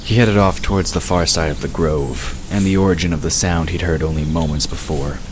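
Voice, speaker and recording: A man with an extremely low voice narrates a fantasy story in a scripted audiobook style. Birdsong, crickets and clear background noise sit behind his voice. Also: fairly even intonation, fairly quick. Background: birdsong, crickets, outdoor ambience.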